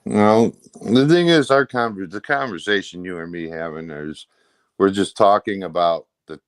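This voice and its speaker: A man with a low voice and a dry, close sound, talking casually as a guest on a show. He is blunt and folksy, wandering along in short phrases. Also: moderate pace, no room sound. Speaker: interview guest. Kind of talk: casual chat.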